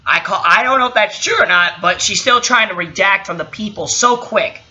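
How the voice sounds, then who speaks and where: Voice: medium pitch, normal speed, sharp tone, highs cut off. An indignant commentator giving impromptu, opinionated reactions, swearing and stressing points emphatically. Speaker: commentator reacting to a recorded call.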